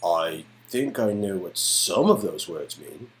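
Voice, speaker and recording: Man acting playful, animated young man on a date. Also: low-pitched, takes it slowly, pitched below the performer's own voice, exaggerated voice. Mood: curious. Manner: teasing, mock-surprised.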